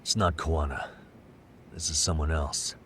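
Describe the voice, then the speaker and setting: An actor playing a calm, sharp detective in an English game dub, measured and thoughtful as he reasons through a case. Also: extremely low pitch, a little hiss or hum. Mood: analytical. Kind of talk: English video game dub cutscene acting.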